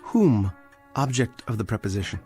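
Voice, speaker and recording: An actor in a radio drama playing a condemned, bookish librarian with a measured, pedantic, dryly ironic manner. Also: male voice, fairly low pitch, animated, dark tone, faint music behind, natural voice. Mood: dry irony. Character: condemned bookish librarian, quietly defiant and wry.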